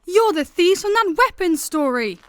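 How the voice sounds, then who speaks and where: A woman playing an indignant lady journalist in a comedic sci-fi radio play, commanding and loud. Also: very high-pitched, very animated, moderate pace, no room sound. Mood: indignant, threatening. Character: shrill, indignant lady journalist chasing thieves.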